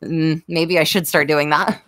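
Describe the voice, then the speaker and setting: Low-voiced woman in casual chat. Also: fairly even intonation, measured pace, fairly bright tone. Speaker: podcast co-host.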